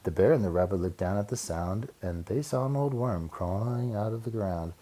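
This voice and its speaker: A man narrating a rhyming children's storybook aloud in an expressive way. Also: on the low side, normal speed.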